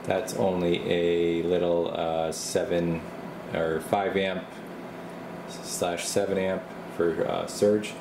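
A man's voice: low voice, takes it slowly, creaky. A man giving an informal, unscripted show-and-tell tour as host, explaining things casually while holding the camera in a small workshop. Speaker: host of a radio repair channel. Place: small indoor workshop at an electronics bench. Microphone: handheld camera mic, speaker behind camera. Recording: roomy, with steady air-conditioner-like noise.

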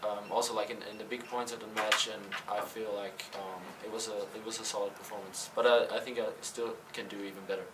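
Young male athlete, low-ish and flat, halting delivery, distant mic. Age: young adult. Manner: hesitant, many fillers and restarts. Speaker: college tennis player. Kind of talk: post-game press interview. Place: press backdrop room. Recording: slightly distant, faint room noise.

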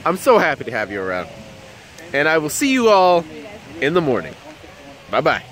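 A man's voice: fairly high pitch, wildly swooping pitch, moderate pace, fairly bright tone. A young man in his twenties with a Southern US accent, vlogging to a handheld camera on vacation, chatty and amazed. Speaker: fishing vlogger. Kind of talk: casual vlog talk to camera. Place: condo balcony, beach and big store. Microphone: handheld camera at arm's length.

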